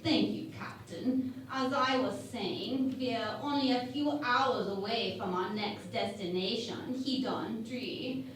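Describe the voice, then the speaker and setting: Woman acting an officious starship doctor, salacious streak, unhurried lecturing, very echoey distant recording, parody radio play. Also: fairly high pitch. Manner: deliberate lecturing delivery. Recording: very echoey room, distant mic, noise.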